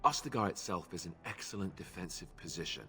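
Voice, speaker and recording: An earnest young warrior of a knightly order for a video game. His voice is on the low side and forward, with a bright edge. He explains things in a measured, self-deprecating way, and a long reverb hangs around him. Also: expressive. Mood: candid, modest.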